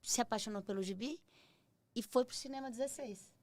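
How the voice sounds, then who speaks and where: A woman's voice: fairly high pitch, brisk, a loud crowd. A woman in her sixties co-hosting a podcast, chatting casually and making admiring comments. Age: 60s. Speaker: podcast co-host. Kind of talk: casual chat.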